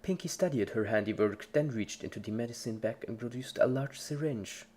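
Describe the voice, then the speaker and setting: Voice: medium pitch, a little fast, darkish tone. A narrator giving a scripted dramatic reading of a story in the third person. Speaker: fan fiction narrator.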